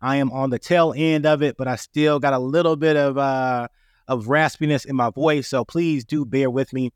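A man hosting his own podcast, his voice raspy from a cold, walking listeners through a topic step by step in an earnest, direct way. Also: medium pitch, fairly even intonation, moderate pace, no echo, clean studio sound. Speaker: podcast host. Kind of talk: solo podcast explainer.

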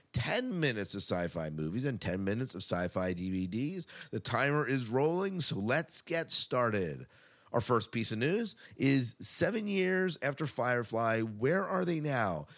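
A man's voice: mid-range voice, fairly bright tone. An American man co-hosting a podcast, chatting casually through entertainment news. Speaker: podcast co-host.

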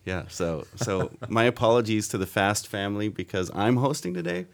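A man's voice: lowish voice, sing-song, moderate pace, creaky. A man hosting a casual podcast, bantering and teasing his co-hosts. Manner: jokey, upbeat banter. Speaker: podcast host.

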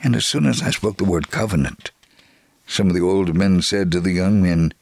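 A man giving an earnest, measured first-person reading of a missionary's letter, in scripted narration. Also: deep voice, vocal fry, a little mellow, no echo.

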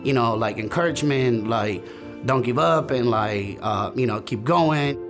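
A young man from El Salvador tells his story in an earnest, halting way, leaning on fillers as he goes. His voice sits at a middle pitch, and music plays clearly beneath him throughout. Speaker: church member giving testimony. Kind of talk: personal testimony, recorded interview. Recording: music bed under voice.

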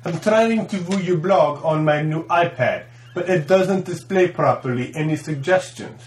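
A man's voice: a little high, normal speed, roomy sound, lightly acted. A man voicing a blunt, earnest Russian sidekick with a Russian accent and stilted, broken grammar, in comic podcast banter. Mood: earnest, halting.

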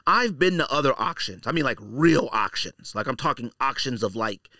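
A man's voice: mid-range voice, animated, normal speed, pushed and bright, no echo, studio quality. A middle-aged man hosting a casual podcast, giving opinionated, slangy monologue and repeating his points for emphasis. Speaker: podcast host.

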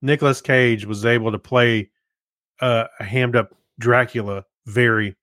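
A man's voice: mid-range voice, fairly even intonation, measured pace, fairly bright tone, no room sound, studio quality. A man co-hosting a podcast in casual, understated conversation. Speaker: podcast co-host.

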